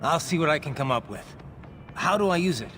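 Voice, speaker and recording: An actor playing a serious, practical action hero in a video game, speaking tersely. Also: male voice, fairly high pitch, expressive, fairly quick, fairly bright tone, slight background noise. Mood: businesslike. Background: game music.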